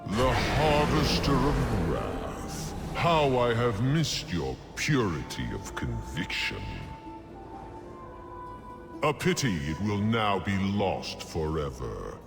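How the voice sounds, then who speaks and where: An actor playing a scheming demon dreadlord in a game cutscene, theatrical and mocking, with drawn-out delivery. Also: male voice, fairly low pitch, big pitch swings, exaggerated voice. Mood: gloating, mocking. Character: gloating, scheming demon dreadlord lord, male. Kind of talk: video game cutscene acting. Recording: long cavernous reverb under loud music.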